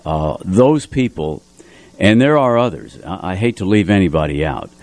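Middle aged guy, really low voice, dry studio sound, radio host reminiscing casually. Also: very animated, normal speed, a little mellow, dry recording. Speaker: community radio show host.

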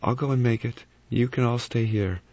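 A man's voice: mid-range voice, normal speed, a little mellow, no room sound, pitched above the performer's own voice, lightly acted. A man reading aloud, voicing a tense young woman who speaks in a clipped, strained way. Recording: slightly muffled dry recording.

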